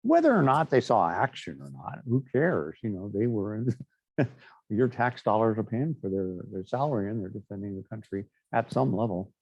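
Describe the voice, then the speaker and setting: A man's voice: fairly low pitch, big pitch swings, normal speed, darkish tone, husky, no echo, dull audio. A middle-aged man teaching a class in a chatty, anecdotal way, with frequent fillers and asides. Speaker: instructor. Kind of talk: classroom lecture.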